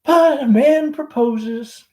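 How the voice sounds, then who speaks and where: A man's voice: extremely high pitch, slow pace, darkish tone, voice pushed up. A man voicing a chatty middle-aged Southern belle mother, genteel and fretful, with a gushing, rambling, theatrical manner, in a stage play reading. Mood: wistful, nostalgic.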